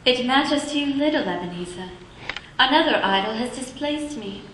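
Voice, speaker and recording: A woman playing a sorrowful young woman in a radio drama, quiet and reproachful. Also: sits high, animated, normal speed. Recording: echoey, faint hiss.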